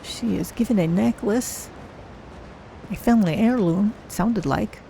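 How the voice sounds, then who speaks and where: A calm cat-folk adventurer played by a woman muses her way through a fantasy game. Her voice is mellow and relaxed with a creaky edge. She is thoughtful and curious, over faint background noise. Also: mid-range voice, a little slow, low-quality recording. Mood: musing. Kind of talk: in-character game stream narration.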